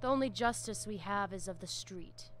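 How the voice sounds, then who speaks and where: A woman's voice: mid-range voice, normal speed, a little hiss or hum, lightly acted. A woman playing a stern vampire gang boss in a video game, firm and menacing. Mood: stern, measured. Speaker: vampire gang leader character. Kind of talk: scripted video game dialogue.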